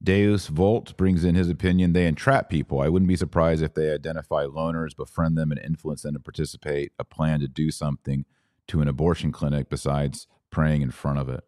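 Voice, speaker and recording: Male podcast host, very low voice, dry close sound, earnest and conversational, turns indignant. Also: little pitch movement, fairly quick, a little mellow, no room sound. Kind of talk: livestream podcast reading viewer comments.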